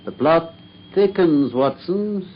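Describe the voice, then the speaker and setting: A man's voice: a little high, expressive, takes it slowly, soft background music. An actor playing a brilliant, confident male detective in an old radio drama. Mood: brisk. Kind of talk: 1950s BBC radio drama acting. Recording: dull lo-fi old radio recording.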